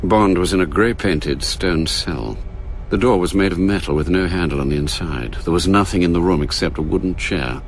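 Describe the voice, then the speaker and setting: An actor playing a grave storyteller, narrating a spy thriller radio drama in a measured, suspenseful manner. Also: male voice, extremely low pitch, slight background noise.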